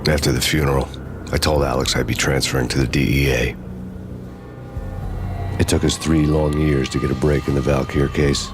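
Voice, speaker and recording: An actor playing a world-weary undercover cop who narrates a noir story in a dry, sardonic way. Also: male voice, extremely low pitch, flat delivery, normal speed, pauses often. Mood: grim. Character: grim hard-boiled male undercover cop narrating. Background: music.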